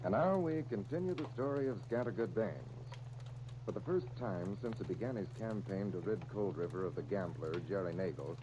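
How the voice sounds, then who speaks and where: A man's voice: fairly low pitch, background noise. An American man reading scripted narration as a radio announcer, with polished, emphatic 1930s delivery. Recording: muffled 1939 radio recording, music behind.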